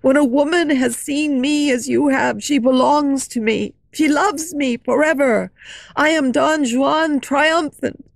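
A furious, anguished masked phantom of a man, shouting slowly and bitterly in a slightly high, gravelly voice, as a woman reads him aloud. The storybook recording is close and dry. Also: no room sound. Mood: raging. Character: masked male phantom, tormented, raging, possessive. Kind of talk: audiobook reading.

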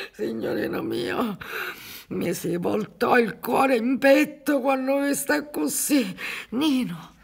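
An actress in her sixties playing a haunted, grieving old peasant mother in a radio drama, with a Sicilian accent and a halting, pleading delivery. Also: fairly high pitch, expressive, gravelly, slightly rough, full character voice. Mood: pleading, anguished. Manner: slow, halting, swinging from whispers to cries. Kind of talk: dramatic radio play monologue. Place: theatre stage.

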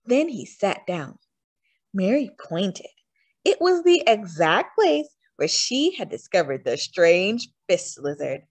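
A woman narrates a storybook for young children. Her delivery is animated, with lots of melodic movement and stretched words for emphasis. The sound is dry, with little room around it. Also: mid-range voice, slow pace, no room sound. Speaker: storytime reader. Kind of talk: expressive children's read-aloud narration.

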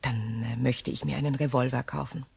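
An anxious widow in a crime radio play. Her voice sits low, and she speaks in a polite, restrained way, composed and measured despite her worry. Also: steady tone, creaky, darkish tone, slightly rough, soft background music, heavily acted. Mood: quietly worried. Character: anxious, composed recently widowed English shop owner.

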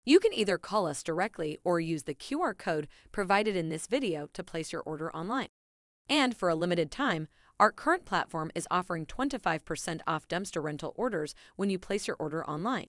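A woman's voice: fairly low pitch, expressive, fairly quick, no echo, studio quality. A woman reading a scripted promotional narration as an advertising presenter, with polished, evenly phrased delivery.

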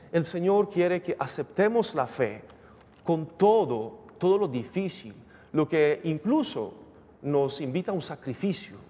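Earnest preaching priest in a chapel. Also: male voice, a little high, dark tone. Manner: measured, earnest preaching with rising emphasis. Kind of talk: sermon at Mass. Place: chapel, indoors, at a lectern. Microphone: gooseneck lectern microphone. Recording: reverberant chapel sound.

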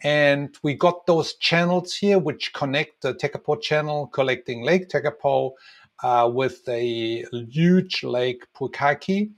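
A middle-aged man, a tour guide, talking relaxed through a road trip on a map with lots of ums and uhs, recorded at home on a webcam. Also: a little high, slow. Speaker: tour guide and travel designer. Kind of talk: casual map walkthrough narration.

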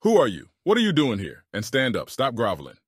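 Man playing an animated, authoritative army colonel. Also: mid-range voice, a little fast, breathy edge, no room sound, studio quality. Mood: questioning, firm. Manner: authoritative, clipped orders. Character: firm, decisive army colonel. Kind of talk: audiobook drama.

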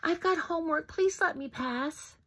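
A woman's voice: very high-pitched, normal speed, fairly bright tone, a little hiss or hum, pitched above the performer's own voice. A woman voicing a timid, tiny female chameleon in a storybook read-aloud. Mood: pleading.

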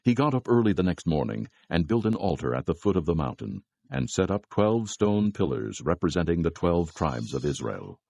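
Super deep guy narrating scripture, husky n creaky, solemn, dry recording. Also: big pitch swings, moderate pace, few pauses, darkish tone.

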